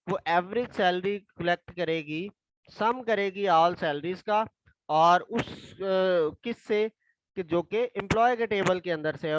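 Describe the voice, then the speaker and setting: A hoarse man, a tutor slowly reading exam questions aloud and explaining them step by step. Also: high voice, dull audio, background noise. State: hoarse from a throat infection.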